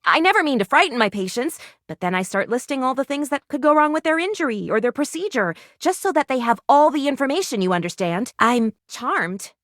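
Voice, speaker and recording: Eccentric female medic, game character: lively, swooping delivery, wordy and earnest, very dry close recording. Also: sits high, brisk, few pauses, no room sound. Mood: worried, apologetic. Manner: quirky, precise, wordy, earnestly awkward. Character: eccentric, eager female medical student, a household object come to life. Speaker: video game voice actor. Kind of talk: animated video game character dialogue.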